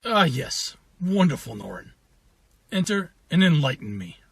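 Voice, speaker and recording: Guy doing a noble old-school duke, kinda higher voice, formal and kind, fantasy audio drama, some background ambience. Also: very animated, creaky, a little hiss or hum, heavily acted. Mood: gracious. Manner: measured, gracious, formal. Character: magnanimous noble duke, dignified veteran lord. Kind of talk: fantasy radio drama acting.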